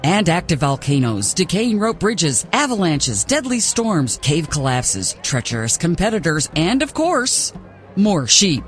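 An actor playing a bombastic, dryly funny reality show announcer in a video game. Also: male voice, a little high, big pitch swings, unhurried. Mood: hyped, mock-dramatic. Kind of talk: showy television announcing. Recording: music playing behind the voice.

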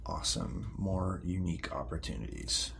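An actor playing a troubled, volatile sixteen-year-old boy in an audio drama, speaking in halting, defensive, sweary bursts. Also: deep voice, lively intonation, vocal fry, fairly bright tone, a little hiss or hum, exaggerated voice. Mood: reluctant, sullen. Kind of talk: naturalistic audio drama acting.

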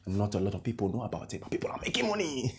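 An actor with a Ghanaian accent playing a young household worker who pitches a money scheme with nervous little laughs. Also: male voice, big pitch swings, racing. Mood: scheming. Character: smooth-talking scheming male worker, young. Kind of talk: comedy skit acting.

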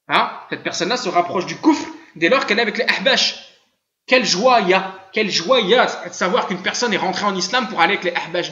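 A man with a high voice and an Arabic-tinged French accent teaches a live religious class over voice chat. He talks in an animated way, keeps asking rhetorical questions and often chuckles. The sound is roomy and dull, like lo-fi chat-room audio. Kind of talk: live online religious lesson.

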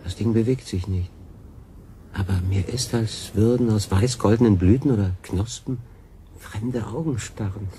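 An actor playing a wry, ailing stranded sailor who talks to himself in a radio drama, with noise behind the voice. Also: male voice, on the low side, moderate pace, dark tone, close to an everyday voice. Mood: wary, fascinated.

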